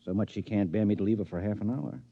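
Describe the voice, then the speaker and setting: An actor playing a curious, chummy henpecked husband with a halting, fussy manner in a 1950s radio drama. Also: male voice, on the low side, fairly even intonation. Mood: wheedling. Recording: dull old 1950s radio recording.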